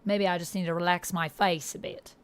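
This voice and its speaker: A woman streamer chatting casually in a put-on, wavering Australian accent, halting and repeating words as she tries out the sounds. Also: fairly low pitch, steady tone, clean tone. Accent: put-on Australian, slipping toward New Zealand and Irish. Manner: halting, self-conscious, trying out vowel sounds and repeating words. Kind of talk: casual livestream chat while practicing accents.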